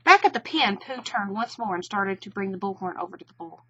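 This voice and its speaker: A woman's voice: medium pitch, expressive, a little fast, a bit bright, dull audio, a little hiss or hum. A woman narrating a children's storybook expressively.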